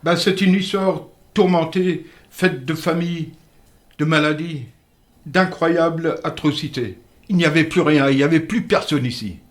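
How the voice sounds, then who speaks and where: An older man, a native dialect speaker, telling village history in a radio interview in an earnest, measured way. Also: on the high side. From Moselle, France. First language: Alsatian-Lorraine Franconian dialect. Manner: earnest, measured storyteller with halting restarts. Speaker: interview guest. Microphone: studio microphone.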